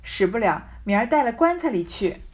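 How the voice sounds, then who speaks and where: A woman reading the part of a teasing, sharp-tongued married noblewoman in a storybook, with pointed, mock-scolding banter. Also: a little high, very animated, fairly quick.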